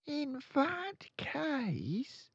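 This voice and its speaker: A man's voice: very high voice, wildly swooping pitch, extremely slow, creaky, no room sound, dull audio, pitched above the performer's own voice, heavily acted. A man voicing an indignant, wide-eyed young schoolgirl in a storybook, with exaggerated, questioning delivery. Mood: curious.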